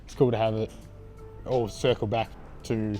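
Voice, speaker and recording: A young Australian man with a raspy voice, a band frontman answering interview questions in a laid-back, hesitant way with lots of ums. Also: fairly low pitch, level tone, normal speed, mellow, soft background music.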